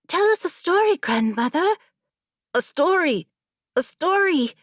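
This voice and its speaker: A woman voicing excited, pleading young children of a nomad camp in a storybook narration. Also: very high voice, a little slow, no echo, clean studio sound, voice pushed up, exaggerated voice. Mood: eager, pleading. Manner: eager, clamoring.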